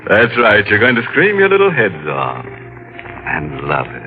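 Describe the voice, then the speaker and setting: An actor playing a sly, ghoulish horror show host who teases the audience with a drawn-out, gloating delivery. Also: male voice, sits low, phone-quality sound, heavily acted. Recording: hissy old radio recording.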